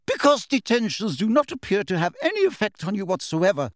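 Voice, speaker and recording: Male reader playing a strict elderly schoolmistress, sharp and clipped, raised pitch, dry close sound. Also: wildly swooping pitch, a little fast, dry recording, exaggerated voice. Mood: stern scolding. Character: stern strict older female teacher.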